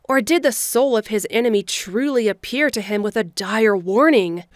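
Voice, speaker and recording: A woman reading scripted story narration in a measured, storybook delivery, as the narrator of an audio drama. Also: a little high, dry recording, clean studio sound. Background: music.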